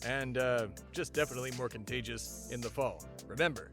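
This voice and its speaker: A man's voice: medium pitch, fairly quick, a bit bright, faint music behind, natural voice. A man playing a pompous, self-important male patriot who hosts a comedy podcast in a mock-serious, grandiose way. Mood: self-important, casual. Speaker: podcast host. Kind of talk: mock-serious comedic monologue and dramatic reading.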